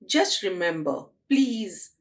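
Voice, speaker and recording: Storybook mother played by a woman: stern, firm, measured, animated scolding. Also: mid-range voice. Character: stern mother, cross, firm, correcting her child.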